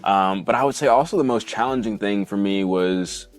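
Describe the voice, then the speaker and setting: A man with a fairly low voice gives a casual promotional interview as an actor. Faint music sits behind his talk. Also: moderate pace.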